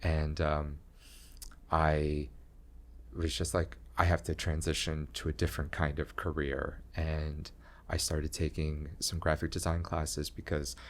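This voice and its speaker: A young man talking slowly in a low voice with long pauses, chatting as a guest about his art and career. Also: flat delivery. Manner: slow, low-voiced, pausing talk. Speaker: comedian and graphic design teacher, guest. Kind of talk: casual interview chat.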